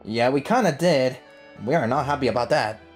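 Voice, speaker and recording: A man's voice: mid-range voice, lively intonation, a little fast, soft background music. A man giving casual, slangy, reactive commentary while playing a video game as a streamer. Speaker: gaming streamer.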